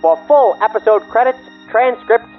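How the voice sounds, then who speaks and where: A woman's voice: fairly high pitch, moderate pace, highs cut off. A woman announcer reading scripted podcast credits. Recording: band-limited radio-like sound over faint music.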